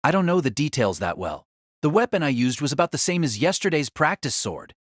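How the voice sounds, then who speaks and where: A woman playing a reserved, modest young male student with a husky voice, hesitant and self-effacing, in an audio drama. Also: low-pitched, very animated, a little fast, no room sound, clean studio sound. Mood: modest, guarded.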